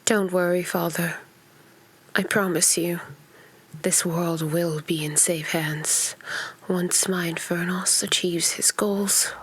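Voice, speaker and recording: A woman's voice: on the low side, fairly even intonation, slow, noisy background. A woman playing a power-hungry, treacherous daughter with smug menace in a fantasy audio drama. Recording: loud music under the voice.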